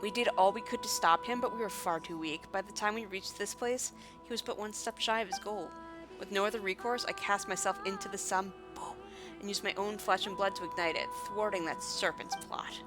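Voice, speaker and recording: A woman's voice: mid-range voice, fairly even intonation, brisk, over music, lightly acted, low-quality recording. A woman voicing a solemn, ancient guardian woman from a fantasy game, telling of old tragedy in formal, grave words. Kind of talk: fantasy game dialogue read aloud.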